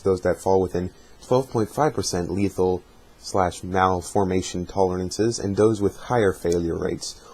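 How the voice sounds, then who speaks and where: Male voice, lowish pitch, husky and rough, measured dramatic reading, amateur narrator. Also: a little mellow, rough recording. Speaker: amateur reader of fan fiction journal. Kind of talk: dramatic reading of a journal with casual asides.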